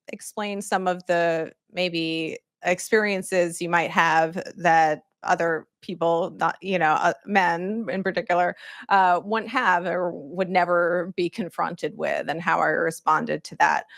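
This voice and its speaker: A woman's voice: lowish voice, vocal fry, fairly bright tone, dry recording, studio quality. A middle-aged woman journalist on a conference panel, telling personal stories in a reflective way with many fillers. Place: conference room.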